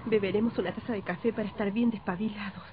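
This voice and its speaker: An actress playing an impatient, determined wife in an old radio horror drama, speaking insistently. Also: female voice, medium pitch, fairly even intonation, fast talker, close to an everyday voice. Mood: brisk, resolute. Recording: muffled, very noisy old radio recording.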